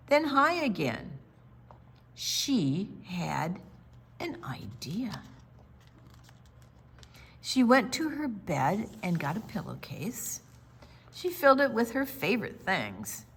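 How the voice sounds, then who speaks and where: A woman reads and chats for young children as a storytime host. Her voice is bright and very lively in pitch. She takes her time, stretching out words expressively. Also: slightly rough. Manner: animated, drawn-out words for young listeners. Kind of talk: expressive read-aloud and friendly chat.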